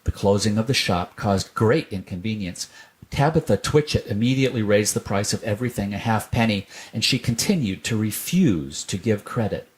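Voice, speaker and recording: Male narrator, slightly deep, scripted reading of a children's tale. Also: moderate pace. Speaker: storyteller.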